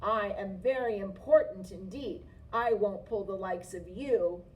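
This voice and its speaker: A woman's voice: mid-range voice, unhurried, darkish tone, pitched below the performer's own voice, lightly acted. A woman voicing a big, strong male freight engine in a storybook, bellowing and pompous. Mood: boastful, gruff. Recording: distant mic, faint noise.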